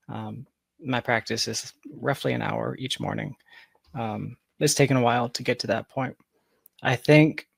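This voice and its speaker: A man's voice: medium pitch, gravelly, dry recording. Man in his thirties, dry close sound. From Georgia. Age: 30s. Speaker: podcast guest, software developer. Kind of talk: conversational interview answers.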